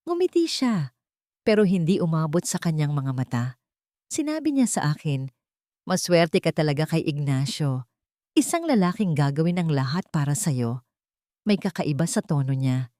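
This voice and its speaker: A woman's voice: on the low side, mellow, no room sound, clean studio sound. A woman voicing a troubled wife and mother confiding in her diary, in a dramatic audio story reading. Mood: wary.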